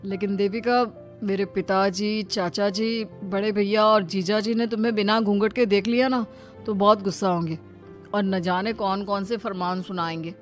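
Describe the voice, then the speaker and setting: A woman's voice: mid-range voice, steady tone, normal speed, faint music behind, lightly acted. A woman playing an anxious young village husband who pleads and placates in an audio moral story. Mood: anxious pleading.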